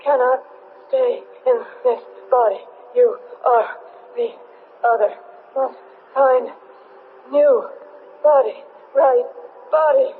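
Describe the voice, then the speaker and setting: Alien being, halting clipped phrases, desperate to survive, high voice, muffled noisy vintage radio recording. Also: male voice, steady tone. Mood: labored, urgent. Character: dying alien creature, halting, pleading, determined to survive. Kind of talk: 1970s radio drama acting.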